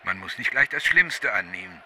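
A man's voice: low-pitched, lively intonation, normal speed, pushed and bright, a little hiss or hum, heavily acted. An actor playing a man who questions and needles a friend in naturalistic radio play dialogue. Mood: probing.

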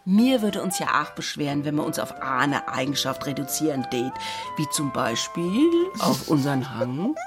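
A woman's voice: low-pitched, expressive, moderate pace, music in the background. An actress playing a playful woman sharing cocktails and teasing her friend in a radio play. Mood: chatty.

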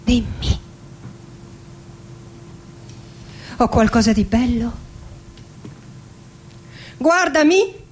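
An actress playing an anguished, lovesick poet in her forties in a theatrical radio monologue, intimate and dramatic, with long pauses. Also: high voice, a little mellow, slight background noise, heavily acted. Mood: pleading, fragile. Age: 40s. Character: anguished, lovesick 46-year-old Argentine woman poet facing death. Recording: music behind the voice. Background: acoustic guitar music.

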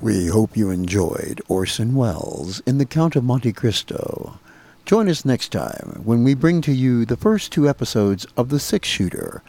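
A man's voice: on the low side, creaky, warm tone, slightly rough. A man hosting a radio show, reading a scripted sign-off and announcements. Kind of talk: scripted show outro narration. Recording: music under the voice. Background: prominent music.

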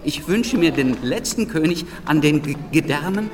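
A man's voice: on the high side, animated, normal speed, a crowd in the background, natural voice. An actor in a German radio drama playing a nervy, rebellious young man who stammers on his consonants. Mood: wry, excited. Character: nervy, rebellious young failed lawyer and would-be writer. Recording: long hall reverb.

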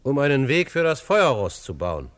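An actor playing a frank, self-assured young frontier newcomer in a German Western radio drama, speaking firmly. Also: male voice, medium pitch, wildly swooping pitch, normal speed, dry recording. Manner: frank, firm.

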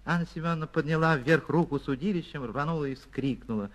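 A man reading a literary short story for radio as an actor, expressive and theatrical, with deliberate pauses. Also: a little high. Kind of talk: dramatic literary narration for radio.

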